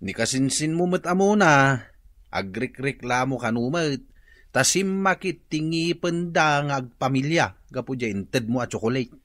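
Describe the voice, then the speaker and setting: An actor playing a concerned husband in an Ilocano radio comedy-drama, speaking earnestly and conversationally with an Ilocano accent. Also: male voice, mid-range voice, expressive, unhurried, a bit bright, no echo, studio quality. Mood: aggrieved. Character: concerned husband, reporting gossip and urging helpfulness.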